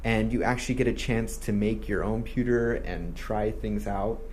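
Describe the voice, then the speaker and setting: A man's voice: lowish voice, steady tone, normal speed, darkish tone, slight background noise. A man who grew up in Malaysia, hosting a promo and explaining a dive tour in a friendly way, with a few restarts. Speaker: tour company host.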